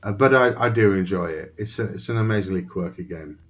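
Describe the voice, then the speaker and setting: A man's voice: low voice, a little fast, fairly bright tone, soft background music. A man thinking aloud and chatting with viewers while playing a survival game on a live stream. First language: Dutch. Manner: rambling, thinks aloud, talks to chat.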